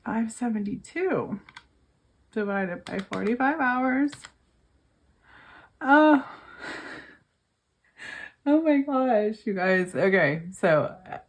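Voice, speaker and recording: A woman's voice: a little high, expressive, slow, pauses often. A young woman with a cold and a failing voice, chatting casually to her viewers about her budget, worn out and talking to them as you guys. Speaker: budgeting vlogger. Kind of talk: casual chat to camera.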